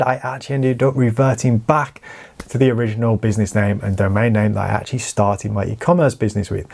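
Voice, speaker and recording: A man's voice: mid-range voice, a little mellow. A man with a stuffy, slightly nasal voice from hay fever, giving candid, conversational advice as host of his own channel. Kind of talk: casual talking-head advice.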